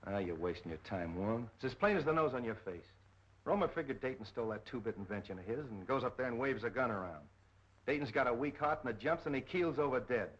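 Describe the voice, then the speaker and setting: Actor as brusque police captain, muffled old film. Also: male voice, medium pitch, animated, fast talker, lightly acted. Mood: dismissive. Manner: blunt, slangy, bossy. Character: brusque, impatient, skeptical male police captain. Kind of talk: 1930s film acting. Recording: muffled 1930s film soundtrack.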